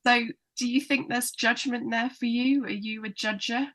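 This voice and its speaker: Woman therapist chatting on a podcast, bright voice, acts out her inner critic. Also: on the high side, steady tone, moderate pace. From United Kingdom. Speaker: psychotherapist guest. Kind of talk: casual chat, explaining.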